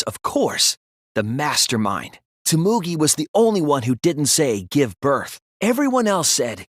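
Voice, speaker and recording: A man voicing an earnest, analytical teenage boy detective in an English video game dub, speaking in a deliberate, resolute way. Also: on the high side, a bit bright, no echo, clean studio sound, heavily acted. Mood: pointed, accusing. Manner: deliberate, earnest, explanatory delivery.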